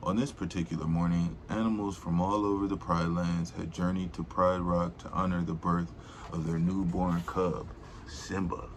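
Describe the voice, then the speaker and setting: A man with a very low, gravelly voice reads a storybook aloud at an unhurried pace. He is informal and rambling, slipping between reading and slangy asides. There is a faint noise behind him. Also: flat delivery. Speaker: host reading children's books aloud.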